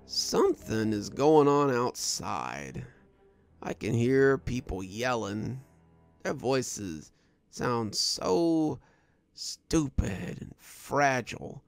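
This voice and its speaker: A man voicing a wounded, delirious prison guard sergeant in a horror story, speaking in a weary, halting, frightened way. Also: medium pitch, gravelly, heavily acted. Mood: dazed, woozy. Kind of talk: first-person horror story narration.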